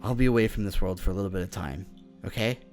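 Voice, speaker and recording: A man playing a confident young demon mage in an improvised Minecraft fantasy roleplay, speaking in a halting, conversational way and often checking for agreement. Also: on the low side, darkish tone, lightly acted. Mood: earnest, explaining. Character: confident young male demon mage, casual, secretive, determined. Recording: voice over background music.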